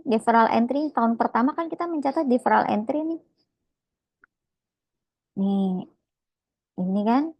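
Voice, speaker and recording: A woman's voice: on the high side, a little mellow, dry recording, low-quality recording. A woman lecturing informally on accounting step by step, conversational and often checking in with short tags.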